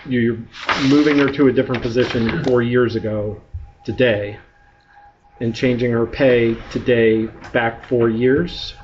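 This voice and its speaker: A man's voice: mid-range voice, steady tone, a little slow. A man speaking as a member of the public at a government meeting, pressing officials with persistent, probing questions. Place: indoor public meeting room. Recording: muffled, noisy meeting-room recording.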